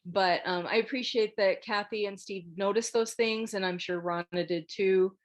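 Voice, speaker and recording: A woman's voice: medium pitch, steady tone, moderate pace. A woman hosting a casual group discussion over a video call, politely directing the others. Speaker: host moderating a script table read.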